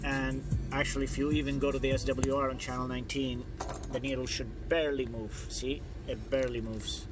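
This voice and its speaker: A man's voice: medium pitch, flat delivery, brisk, music in the background, poor audio. A man giving an informal hands-on tutorial as an instructor, explaining plainly step by step. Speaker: instructor demonstrating radio equipment.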